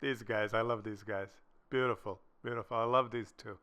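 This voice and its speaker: A man giving casual gameplay commentary and coaching in a rambling, halting way, often repeating himself. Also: lowish voice, steady tone, pushed and bright, clean tone. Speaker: gaming video host and commentator.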